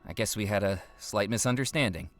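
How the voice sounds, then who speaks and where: An actor voicing a curious, wry investigator who questions dryly. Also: male voice, on the low side, fairly quick, dry recording, close to an everyday voice. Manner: dry, questioning. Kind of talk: video game voice acting. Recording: faint game music behind.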